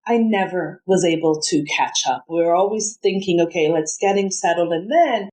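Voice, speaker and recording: A woman's voice: fairly low pitch, normal speed, darkish tone. A woman from New Jersey, an interview guest, earnestly recounting her family's caregiving step by step, often restarting her sentences. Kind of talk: conversational interview, personal storytelling.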